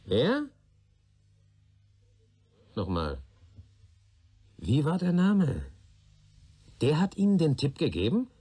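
A man's voice: on the high side, huge pitch swings, takes it slowly, pauses often, warm tone, breathy edge, full character voice. An actor playing a sardonic, world-weary male police detective who probes and questions in a radio play. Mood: officious, probing. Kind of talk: radio drama dialogue.

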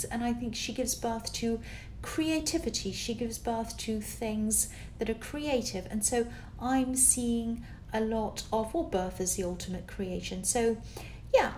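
A tired woman with a slightly croaky voice, giving a casual tarot reading to camera in a rambling, encouraging way full of fillers. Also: fairly high pitch, darkish tone, a little hiss or hum. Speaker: tarot reader. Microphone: camera with external microphone.

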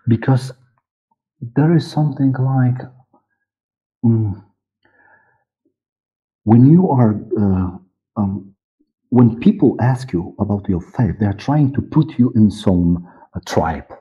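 A middle-aged man with a Polish accent, a native Polish speaker, giving interview answers haltingly as he searches for words. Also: mid-range voice, animated, breathy edge. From Poland. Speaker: guest, audiobook director and producer. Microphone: headset on a video call. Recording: muffled, narrow-band video call audio.

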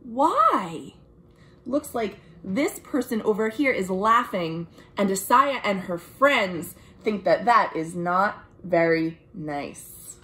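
Young american lady doing kids storytime, slow n super expressive, lots of up and down in her voice. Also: medium pitch. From United States. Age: young adult. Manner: expressive, deliberate, addressing young children. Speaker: children's librarian reading storytime. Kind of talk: animated picture-book read-aloud with friendly asides. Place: small room at home.

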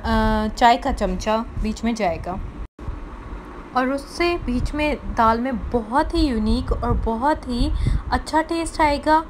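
Woman host, fairly high voice, patient step-by-step recipe voice-over, faint hiss. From Pakistan. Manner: explains each step, often restating in simpler words. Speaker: cooking channel host.

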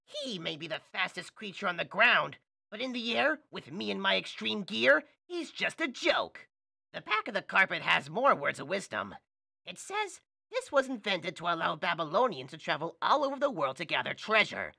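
A man's voice: sits high, very animated, normal speed, creaky, sharp tone, no room sound, clean studio sound, heavily acted. A man voicing a cocky, taunting hawk thief with a smug, swaggering delivery.

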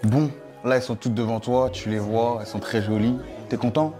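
A man presenting a dating show, brisk and teasing, with music underneath. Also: mid-range voice. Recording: voice over background music.

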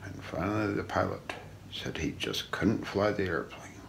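A middle-aged man reading a scripted true-crime story as ASMR role play, in a measured, solemn documentary manner. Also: deep voice, lively intonation, vocal fry, a little hiss or hum. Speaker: narrator of an unsolved-mystery story. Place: small indoor room.

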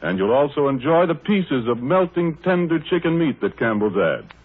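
A man's voice: fairly high pitch, big pitch swings, moderate pace, breathy edge, phone-quality sound. A man with an American accent announcing on 1930s radio in a polished, courteous, persuasive sales style. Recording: thin, band-limited 1930s radio broadcast.

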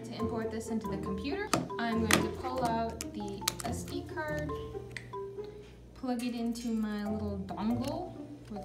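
A young adult woman giving a casual how-to tutorial in a chatty, informal manner. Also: fairly high pitch. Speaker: tutorial presenter. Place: small indoor room with bare block walls. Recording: echoey room with music behind. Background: music.

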